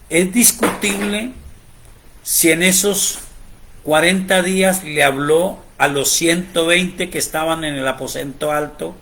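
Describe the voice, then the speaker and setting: A male preacher delivering a Bible teaching in a slow, deliberate cadence. His voice sits fairly high for a man, and he leans hard into emphasis, climbing on the words he wants to land. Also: a bit bright. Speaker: pastor.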